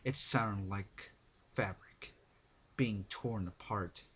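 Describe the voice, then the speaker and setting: A man narrating a story as a dramatic reading, in deliberate, halting phrases. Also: on the low side, bright, forceful tone, breathy edge. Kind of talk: dramatic fan fiction reading.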